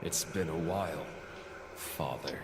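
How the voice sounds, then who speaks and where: A man acting a brooding villain who confronts his father in a game cutscene, grave, cold and deliberate. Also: a bass voice. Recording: game audio with loud background noise.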